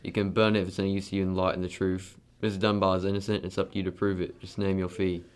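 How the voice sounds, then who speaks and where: A man's voice: deep voice, level tone, fast talker, clean tone, natural voice. A man playing an imposing, blunt, commanding wealthy magnate with a temper in an amateur radio drama. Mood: blunt, impatient.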